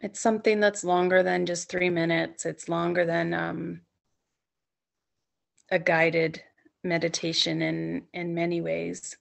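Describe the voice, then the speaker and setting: Female meditation guide for bedtime yoga nidra: lowish pitch, very strong vocal fry, soothing and unhurried, with long pauses. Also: level tone. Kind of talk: guided sleep meditation, softly spoken instruction.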